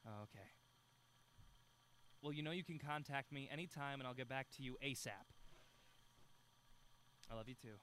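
An actor playing a playful young film fanatic in an audio drama, bantering and mock-formal. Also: male voice, mid-range voice, moderate pace, pauses often, fairly bright tone, slight background noise, natural voice. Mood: awkward, subdued.

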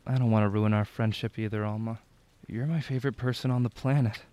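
A man's voice: on the low side, fairly even intonation, fast talker, dark tone, close to an everyday voice. An actor playing a playful, flirty man on a road trip, with a teasing, arch manner in an audio drama. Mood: tender, flirty.

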